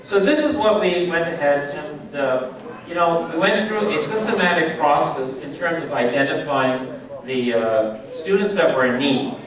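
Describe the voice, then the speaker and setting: A man's voice: fairly high pitch, expressive, a little slow, distant mic, crowd noise. Man speaking in a very echoey hall. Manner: conversational, checks in with audience, many fillers. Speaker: speaker at a charity event. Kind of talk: informal speech to an audience. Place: event hall. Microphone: PA speaker, recorded from a distance.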